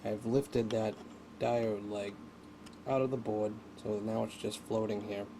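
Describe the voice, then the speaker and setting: Creaky low-voiced man thinking aloud. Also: fairly even intonation. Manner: halting, thinking aloud while working. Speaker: hobbyist demonstrating an electronics repair. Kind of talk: unscripted hands-on tutorial narration. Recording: noticeable background noise.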